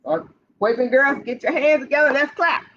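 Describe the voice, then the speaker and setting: A woman hosting storytime for young children, reading aloud in an animated, sing-song way and putting questions straight to the kids. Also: high-pitched, moderate pace, slight background noise. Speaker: library storytime host for children. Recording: dull, lo-fi livestream sound.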